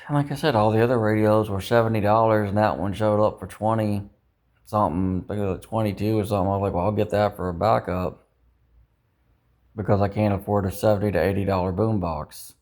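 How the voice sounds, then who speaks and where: A man's voice: fairly low pitch, steady tone, fairly quick, darkish tone. A man talking casually in a rambling monologue, second-guessing himself and ruminating, with some mild swearing. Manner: self-doubting, ruminating, mildly profane. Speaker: vlogger.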